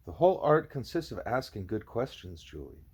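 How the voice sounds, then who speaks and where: A calm, wise gorilla played by a man teaches patiently in a storybook tale. The voice is husky and a little low, with very animated intonation and measured, formal phrasing. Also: full character voice. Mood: patient, didactic.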